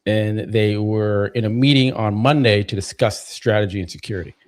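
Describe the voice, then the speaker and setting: A man hosting a live stream, relaxed and chatty, often saying "you know" and "right?". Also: lowish voice, moderate pace. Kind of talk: casual live-stream chat.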